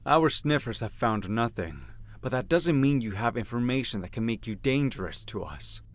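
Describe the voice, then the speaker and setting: A man acting as a gruff, domineering, businesslike henchman of a crime syndicate in an audio drama. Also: mid-range voice, lively intonation, moderate pace, natural voice. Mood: probing, businesslike. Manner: blunt, domineering, no-nonsense.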